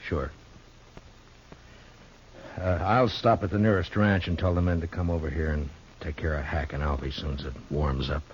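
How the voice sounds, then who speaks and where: An actor playing a stoic, plain-spoken frontier marshal in a radio western, laconic and sometimes halting. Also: male voice, a bass voice, steady tone, a little hiss or hum. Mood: awkward, gentle. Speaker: lead actor and narrator. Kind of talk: 1950s radio western acting. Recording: dull old radio recording with music.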